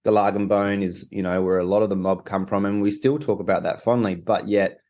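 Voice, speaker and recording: A man's voice: on the low side, fairly even intonation, fairly quick, a little mellow. A young man, a documentary co-director, answering interview questions in a rambling way with many restarts. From Australia.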